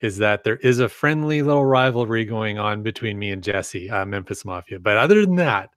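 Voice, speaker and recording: A man's voice: fairly low pitch, expressive, brisk, a bit bright, dry recording, studio quality. A man co-hosting a casual hobby podcast, easygoing and agreeable, laughing now and then. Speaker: podcast co-host.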